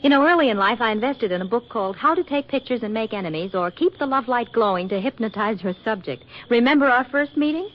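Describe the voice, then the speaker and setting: An actress playing a flippant, independent career-woman photographer with a soft romantic streak, in 1940s radio drama style. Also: on the high side, expressive, quick pace, few pauses, lightly acted. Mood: wry, flirtatious. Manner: breezy, flippant banter. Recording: muffled, noisy 1940s radio recording.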